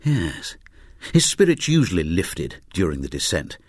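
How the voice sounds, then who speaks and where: A man narrating a scripted story as an audiobook reader, in a wry, dramatic and expressive way. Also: low-pitched, unhurried, slightly rough, no echo, studio quality.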